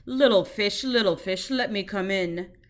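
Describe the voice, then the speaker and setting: Woman doing a big bad shark, booming. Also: a little slow, close to an everyday voice. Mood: menacing. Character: big bad male shark, menacing and loud.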